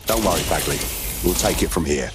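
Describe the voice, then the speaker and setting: A man playing a steady, consoling resistance operative in a video game, reassuring and understated. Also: sits low, a little fast, heavy background noise, natural voice. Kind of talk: scripted video game voice acting.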